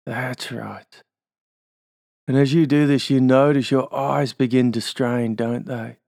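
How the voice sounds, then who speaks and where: A man's voice: medium pitch, fairly even intonation, slow pace, gravelly, a little mellow, no echo, studio quality. A man with an Australian accent leading a guided hypnosis induction, giving soothing suggestions and tag questions.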